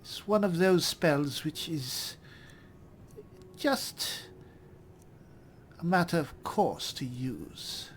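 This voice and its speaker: A man thinking aloud in character as he plays a roleplaying game, measured and deliberate, often muttering to himself. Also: on the high side, expressive, a little hiss or hum. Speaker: gamer narrating a roleplay playthrough.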